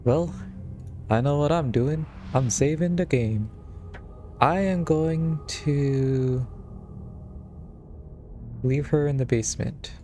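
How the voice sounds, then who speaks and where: A man's voice: fairly high pitch, takes it slowly, long pauses, mellow. A man giving casual live commentary as he plays a game, thinking aloud, with faint music behind him. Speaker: game streamer.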